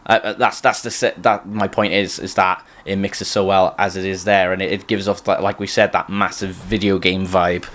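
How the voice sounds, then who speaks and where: A man co-hosting a podcast, reacting casually to music and explaining his opinions in a halting, searching way. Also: sits low, flat delivery, fairly bright tone. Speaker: podcast co-host reviewing songs.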